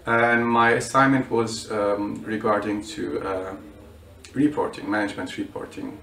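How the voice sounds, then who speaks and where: A man's voice: lowish voice, level tone, unhurried, gravelly, sharp tone. A student in his twenties from Bulgaria, answering interview questions with many hesitations and restarts. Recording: roomy echo, mic at a distance.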